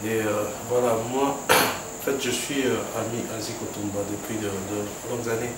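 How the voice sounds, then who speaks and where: A man's voice: fairly low pitch, fairly even intonation, moderate pace. A man, the organizer of a project, speaking earnestly at a formal meeting with frequent fillers. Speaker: organizer of a football academy project. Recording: very echoey room, mic far off, background noise.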